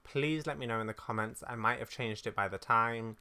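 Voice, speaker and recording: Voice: mid-range voice, brisk, bright, forceful tone, smooth. A gaming video creator of unclear sex chats casually and apologetically through a playthrough, in an informal way. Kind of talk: casual game playthrough commentary with read-aloud dialogue. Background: game music, keyboard clicks.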